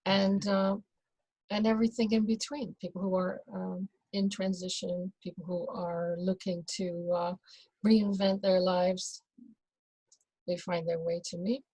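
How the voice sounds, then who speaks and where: Woman, from the Netherlands, interview guest, slow, hesitant, fillers, lowish voice, dry close sound. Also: little pitch movement, mellow, breathy edge, dry recording, rough recording. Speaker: guest, birth and rebirth doula. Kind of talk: casual conversational interview.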